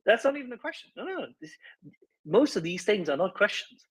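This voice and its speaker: A man's voice: high-pitched, big pitch swings, brisk, fairly bright tone, husky. A man on a live audio panel arguing politics emphatically, insistent and repeating words for emphasis.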